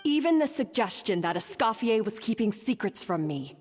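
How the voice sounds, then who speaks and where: A woman acting a haughty, accusing young woman in a video game, deliberate and dramatic. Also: a little high, sing-song, a little mellow. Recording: long hall reverb, faint noise.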